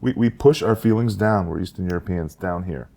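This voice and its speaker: A man with a deep, creaky voice talks quickly in casual podcast banter. He comes across as a blunt, joking co-host, with gravel running through his speech. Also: mellow.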